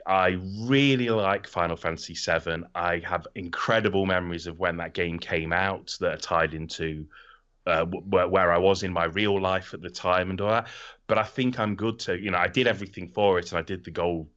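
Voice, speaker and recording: A man's voice: low-pitched, normal speed, fairly bright tone, highs cut off. A middle-aged man hosting a casual podcast about video games, talking informally and swearing freely. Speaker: podcast host.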